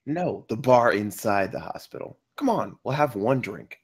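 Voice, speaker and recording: A man's voice: lowish voice, lively intonation, moderate pace, husky. A man at a script table read playing a harried, brash buddy who is a father of many. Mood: pushy.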